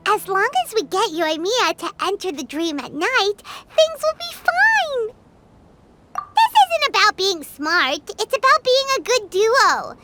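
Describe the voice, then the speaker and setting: A woman voicing a tiny, excitable, childlike fairy companion in a video game, chatty and earnest, in an exclamatory way. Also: extremely high pitch, slow pace, heavy background noise, exaggerated voice. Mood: excited. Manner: speaks of herself in the third person, exclamatory. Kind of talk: video game character dialogue. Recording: music behind the voice.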